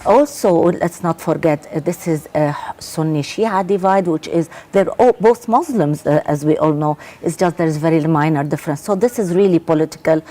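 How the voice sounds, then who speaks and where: A woman's voice: low voice, normal speed, hardly any pauses, warm tone. A woman from Lebanon with a Lebanese accent, giving analysis as a guest in a live news interview, emphatic and often repeating her words. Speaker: journalist, guest commentator.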